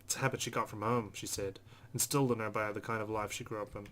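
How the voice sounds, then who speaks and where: A man's voice: fairly low pitch, fairly even intonation, fast, a bit bright. A man hosting a let's play, reading visual novel narration aloud expressively with casual asides. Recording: faint background noise.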